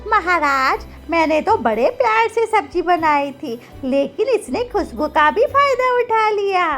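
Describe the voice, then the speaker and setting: Woman acting a shrill-high whiny cartoon granny. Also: sing-song, measured pace, a bit bright, faint music behind, heavily acted. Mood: accusing. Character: indignant, pleading old village woman, comic complainer. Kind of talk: Hindi animated comedy acting.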